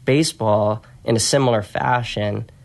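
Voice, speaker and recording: A soft-spoken man talking in an easygoing, reflective way as a podcast guest, telling stories about his work in a conversational interview. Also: fairly low pitch, normal speed, vocal fry. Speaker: podcast guest, strength and conditioning coach.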